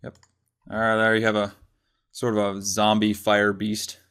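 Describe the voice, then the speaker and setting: A man presenting a casual software tutorial, explaining step by step in an informal way. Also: fairly low pitch, completely flat delivery, a little slow, very noisy.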